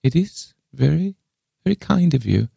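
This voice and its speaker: An eccentric old lady from a storybook, acted out by a man, with a piping voice pitched well up. She is wistful, peevish and possessive about her things, and sounds thin and plaintive, full of sighs. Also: sing-song, unhurried, vocal fry, warm tone, slightly rough, dry recording, studio quality, full character voice. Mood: pathetic, grateful. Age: older. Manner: plaintive, sighing, wailing. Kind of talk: dramatised storybook reading.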